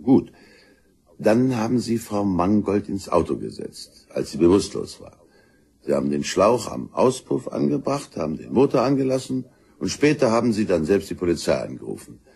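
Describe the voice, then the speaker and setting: A stern detective inspector in a crime radio play. The voice is low and husky, with a dry, official manner and intonation that moves around a good deal. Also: male voice, a little mellow, heavily acted. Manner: dry, matter-of-fact, authoritative. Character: stern, methodical male police detective inspector.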